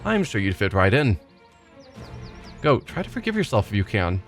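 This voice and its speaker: A man's voice: fairly low pitch, big pitch swings, moderate pace. A man voicing a bitter, wounded male werewolf loner, reading his game lines in a dry, weary way over faint game music. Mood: wry.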